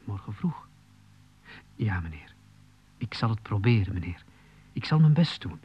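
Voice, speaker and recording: An actor playing an earnest imprisoned poet in a radio play, speaking in a halting, deliberate, poetic way with a husky, breathy voice. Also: male voice, lowish voice, very animated, darkish tone, heavily acted. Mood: meek, pleading. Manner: halting, deliberate, repeating phrases. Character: meek, earnest imprisoned poet, humbly explaining himself to authority. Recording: slightly dull 1980s radio recording.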